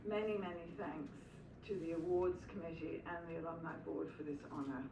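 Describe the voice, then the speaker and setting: An older woman from Philadelphia with some English traces in her accent gives an acceptance speech in a low voice. She speaks in a reflective way with small hesitations. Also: steady tone, brisk, noisy background. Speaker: honoree giving acceptance speech. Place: school hall at an awards ceremony. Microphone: podium microphone. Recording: echoey hall, distant mic.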